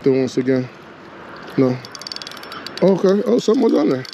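A man's voice: a little high, sing-song, moderate pace, creaky, dark tone, slight background noise, rough recording. A man fishing from a rocky shore outdoors, talking to himself and to the fish in casual running commentary, picked up by a body-mounted action camera. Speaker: fishing vlogger.

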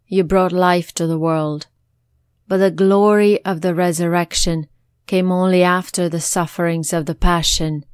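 A woman with a fairly low voice recites prayers slowly and reverently. Each line is measured and even. The sound is dry and close, with no room around it. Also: clean tone, dry recording. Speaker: prayer leader.

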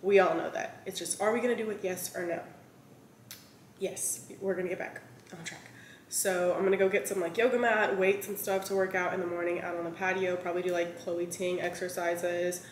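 A woman's voice: fairly low pitch, steady tone, brisk. A woman in her thirties chatting casually to camera as a lifestyle vlogger, rambling with lots of fillers, her throat a bit scratchy. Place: large bright home kitchen. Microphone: camera on a counter, mid-shot. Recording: echoey kitchen with faint room noise.

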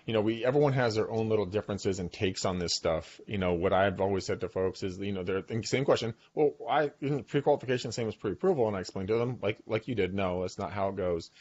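A talkative man in his forties hosts a podcast from the dining room of his home. His voice sits low, and it comes through a boom mic with a slightly dull, lo-fi sound. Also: fast talker, hardly any pauses. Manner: talkative, animated storyteller. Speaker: podcast host. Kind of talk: casual video-call interview. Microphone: boom mic on arm.

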